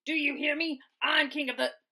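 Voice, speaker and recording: A woman's voice: sits high, steady tone, moderate pace, vocal fry, bright, forceful tone, voice pushed up, exaggerated voice. A woman voicing a mean, bossy big male prairie dog with a growling, barking delivery for a storybook. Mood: boastful, defiant.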